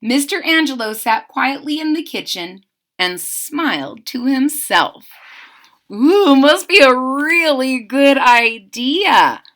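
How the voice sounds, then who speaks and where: Woman reading a children's story aloud, expressive, chatty asides, slow pace, lively high voice. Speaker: storybook reader.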